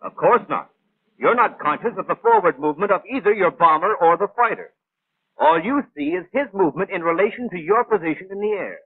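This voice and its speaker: An actor playing a brisk, know-it-all gunnery instructor in a 1940s animated training film, precise, clipped and didactic. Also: male voice, high voice, husky, dry recording, narrow, tinny audio. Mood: instructive. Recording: thin, band-limited 1940s film soundtrack.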